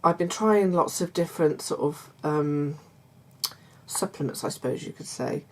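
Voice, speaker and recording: Croaky middle-aged woman chatting. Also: low voice, normal speed. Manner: hesitant, many fillers and restarts; warm confiding tone toward viewers. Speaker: vlogger. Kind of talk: casual chat to camera.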